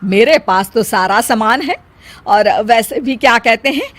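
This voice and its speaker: A proud, stubborn mother-in-law of middle age played by a woman in a moral story. She speaks slowly and emphatically in a high voice, scolding and taunting as she goes. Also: lively intonation. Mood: indignant. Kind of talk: acted dialogue in a Hindi moral story.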